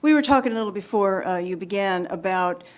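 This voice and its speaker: A woman's voice: mid-range voice, animated, brisk. Woman moderating with polite questions. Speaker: event moderator.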